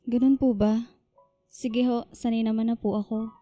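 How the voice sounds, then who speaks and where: A woman's voice: on the high side, flat delivery, takes it slowly, mellow, faint music behind. A woman playing a polite, resigned young student daughter in a radio drama, speaking in a subdued way. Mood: subdued, disappointed.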